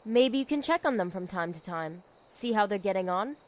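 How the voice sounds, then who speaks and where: A woman playing a dutiful, weary knight commander in an audio drama, speaking in a measured, authoritative way. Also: mid-range voice, lively intonation, slight background noise, natural voice. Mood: businesslike.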